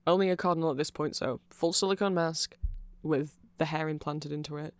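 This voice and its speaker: A man's voice: on the high side, fairly even intonation, brisk, smooth, dry recording. A man giving a casual ASMR explainer, rambling along with nerdy enthusiasm. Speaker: ASMR audio creator.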